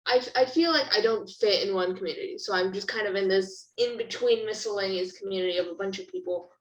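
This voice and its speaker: A woman's voice: medium pitch, fast talker, reverberant, poor audio. A teenage girl with an American accent, a guest, talking in a hesitant, self-deprecating way. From India, raised in the United States. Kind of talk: casual chat.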